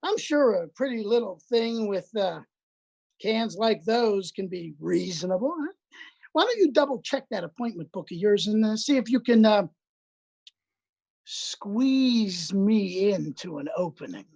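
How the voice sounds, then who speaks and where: A woman's voice: mid-range voice, animated, unhurried, gravelly, warm tone, highs cut off. A woman voicing a cocky, sleazy male flirt who hems and haws with many "uh"s. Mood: smarmy. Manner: smarmy, full of hesitant "uh"s.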